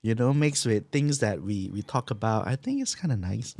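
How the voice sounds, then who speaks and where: A man co-hosting a podcast, easygoing in casual chat and often trailing off quietly. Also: fairly low pitch, animated, normal speed, creaky, no echo, clean studio sound. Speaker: podcast co-host.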